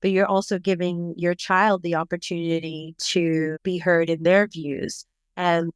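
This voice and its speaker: A middle-aged woman from Bangladesh, a parenting coach, speaking as a podcast guest in a reflective, measured way. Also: fairly low pitch, level tone, clear, resonant tone, dry recording. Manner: reflective, measured, thoughtful explaining. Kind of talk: podcast interview.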